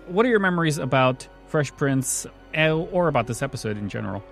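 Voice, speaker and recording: A man hosting a casual podcast, his voice worn hoarse, chatting and laying out facts with a chuckle. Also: on the high side, brisk, soft background music. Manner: relaxed, informative, chuckles often. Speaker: podcast host.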